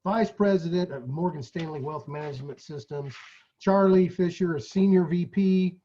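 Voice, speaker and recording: A slightly dull recording of a man with a fairly high voice. He speaks as an event host making an informal live introduction, effusive and chummy in how he addresses people. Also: normal speed, mellow. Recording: dull lo-fi audio.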